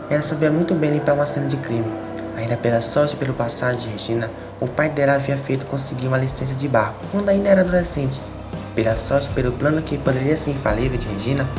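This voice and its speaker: A man reading scripted narration as the narrator of an audio drama. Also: mid-range voice. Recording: loud music under the voice.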